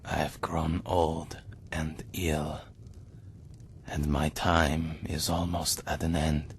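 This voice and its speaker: A man playing a dying, blind old father in a fantasy game film, speaking gravely and wearily to his son. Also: a bass voice, little pitch movement, slight background noise, exaggerated voice. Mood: solemn, weary. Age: older. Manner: grave, halting, weary. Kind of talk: dramatic game film monologue.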